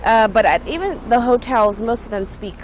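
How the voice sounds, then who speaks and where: A woman's voice: on the high side, lively intonation, normal speed, a little hiss or hum. A woman visiting and chatting while cycling outdoors, asking questions and laughing often. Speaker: visiting guest. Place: outdoors on a city seaside road.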